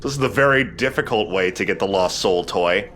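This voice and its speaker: A man's voice: sits low, fairly quick, a bit bright, faint music behind. A man, a young adult, giving casual, joking gameplay commentary in a sardonic, grumbling, sweary way. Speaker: let's play host playing the game.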